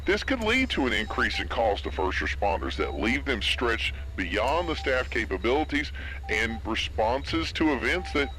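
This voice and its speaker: A man playing a formal, serious radio news announcer reading a measured emergency bulletin in an apocalypse audio drama. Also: mid-range voice, big pitch swings, sharp tone. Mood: sober, formal. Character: sober male radio news announcer during zombie outbreak. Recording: noisy radio broadcast with background ambience.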